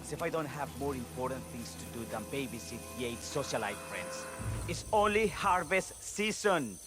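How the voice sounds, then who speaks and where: A man acting as a fussy, middle-aged winemaker with a medium-pitched voice. He is a pompous, exacting video game character, easily irritated. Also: expressive, moderate pace, over music, close to an everyday voice.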